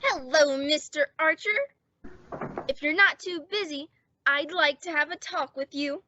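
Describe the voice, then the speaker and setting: A high, sharp voice in lo-fi sound: a woman playing a lovestruck teenage boy from a radio comedy. He is earnest and dopey, forever laying out a scheme with great formality. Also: measured pace, faint music behind. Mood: hopeful, wheedling. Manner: earnest, formal pitching of silly arguments. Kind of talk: old-time radio sitcom acting. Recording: dull, lo-fi audio.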